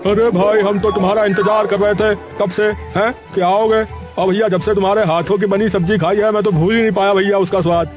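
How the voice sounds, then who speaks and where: High-pitched, folksy village chatterbox man acting. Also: steady tone, fairly quick, soft background music, natural voice. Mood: eager. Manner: folksy, repeatedly says bhaiya. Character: chatty, enthusiastic village man, food-stall customer. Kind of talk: animated story character acting.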